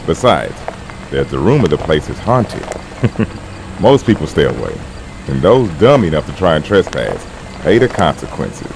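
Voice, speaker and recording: Man playing a deep, animated folksy army veteran. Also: measured pace, creaky, full character voice. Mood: wry, amused. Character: folksy, jovial male army veteran stockpiling supplies. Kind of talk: audio drama acting. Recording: noisy track with outdoor ambience effects.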